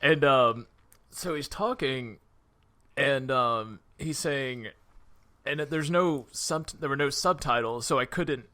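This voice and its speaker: Bright-voiced laughing man chatting about a movie. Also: medium pitch. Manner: laughs often, acts out film lines in quick voices. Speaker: podcast co-host. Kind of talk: casual movie review chat.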